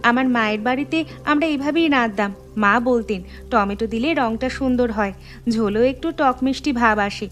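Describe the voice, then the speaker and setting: A woman's voice: a little high, normal speed, lightly acted. A woman playing a young Bengali daughter-in-law in a cartoon, caring, earnest and respectful, eager to please. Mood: apologetic. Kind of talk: animated moral story voice acting.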